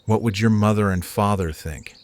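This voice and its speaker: A man's voice: fairly low pitch, moderate pace, close to an everyday voice. An actor playing a concerned, loving young man in an audio drama, earnest and pleading. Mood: worried.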